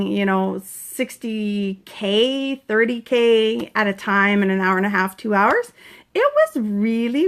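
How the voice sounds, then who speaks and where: A woman in her forties from Canada, a fitness coach talking casually and earnestly to viewers about recovering from a concussion. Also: mid-range voice. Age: 40s. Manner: chatty, earnest, breaks off to greet viewers brightly. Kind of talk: casual live-stream vlog, greeting viewers.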